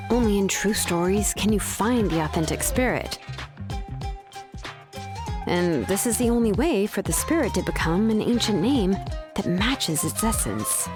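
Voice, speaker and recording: A woman voicing a calm, bookish craftswoman in a video game, explaining things in a measured, scripted way. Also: medium pitch, close to an everyday voice. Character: calm, bookish adult craftswoman who forges ancient names.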